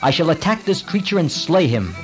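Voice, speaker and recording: A man's voice: fairly high pitch, very animated, fairly quick, over music, pitched above the performer's own voice. A man voicing a wrathful, fiercely loyal young warrior prince in a storybook epic, in a declamatory manner. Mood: wrathful vow.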